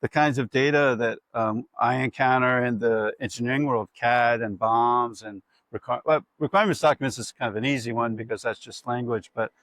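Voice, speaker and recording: A man's voice: medium pitch, steady tone, fairly quick, few pauses, fairly bright tone, no room sound. A middle-aged man with an American accent, hosting an interview and asking long, curious questions while often affirming his guest. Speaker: podcast host. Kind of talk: conversational interview.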